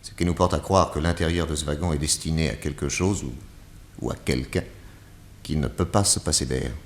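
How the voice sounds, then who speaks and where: A sly calm detective, really deep voice, radio play. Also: male voice, brisk, a little hiss or hum. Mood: calm, probing. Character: shrewd calm male detective, wry. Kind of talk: radio drama acting.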